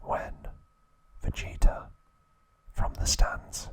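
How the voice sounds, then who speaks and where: A man narrating ASMR in a hushed, deliberate whisper, breaking his sentences into short phrases. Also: noisy background. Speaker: ASMR host.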